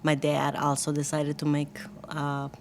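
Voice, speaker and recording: A woman's voice: low voice, completely flat delivery, measured pace, slight background noise. A woman from Costa Rica with a Costa Rican Spanish accent, telling her personal story in a candid, chatty, lightly joking way as an interview guest. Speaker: guest, church worship team leader.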